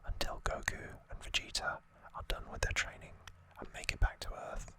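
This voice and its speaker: ASMR host, fully whispered, breathy and bright, explaining things in a hushed conversational way. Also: fairly quick. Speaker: ASMR host recapping an anime series.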